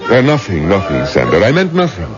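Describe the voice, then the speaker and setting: An actor playing a protective old religious teacher in a 1970s radio drama, grave and emphatic. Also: male voice, on the low side, wildly swooping pitch, a little fast, over music. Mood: guarded, concerned. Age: older. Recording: muffled old radio broadcast.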